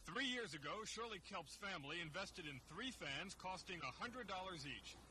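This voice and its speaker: A man's voice: sits high, lively intonation, moderate pace, sharp tone. An American man narrating a scripted television news report on an archival recording. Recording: archival TV audio with faint noise.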